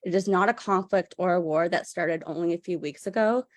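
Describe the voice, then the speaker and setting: A woman reads a prepared statement as public comment. Her voice sits a little low and sounds dry, without room echo. Her delivery is formal and firm. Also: brisk.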